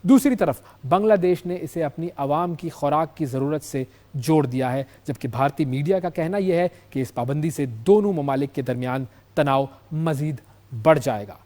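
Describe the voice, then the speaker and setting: Higher-pitched Pakistani male news host. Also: normal speed, dark tone. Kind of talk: news presentation with explanatory commentary.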